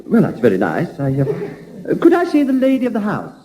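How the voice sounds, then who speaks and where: An actor playing a stiff, proper young curate who is easily shocked, in a 1960s radio comedy. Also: male voice, high voice, huge pitch swings, brisk, dark tone, in a large hall, rough recording. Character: prim, prudish young male curate. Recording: old radio broadcast.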